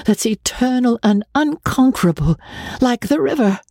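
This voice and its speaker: A woman's voice: a little high, expressive, moderate pace, dark tone, no echo, studio quality, pitched above the performer's own voice. A woman voicing a tearful, pleading young woman.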